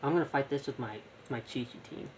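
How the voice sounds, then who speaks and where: A man's voice: mid-range voice, fast talker, a little hiss or hum. A young man giving casual live gameplay commentary, rambling and thinking aloud. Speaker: gaming streamer. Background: game music.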